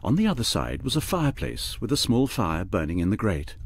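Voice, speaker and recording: An actor narrating a fantasy radio drama as an expressive storyteller. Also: male voice, lowish voice, a little fast, creaky, exaggerated voice. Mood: vivid, descriptive.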